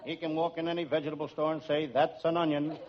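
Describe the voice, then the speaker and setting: An actor playing a wisecracking hard-boiled private detective in an old-time radio comedy, with dry, deadpan delivery. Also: male voice, on the high side, fast, close to an everyday voice. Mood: wry narration. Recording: muffled, noisy old radio recording.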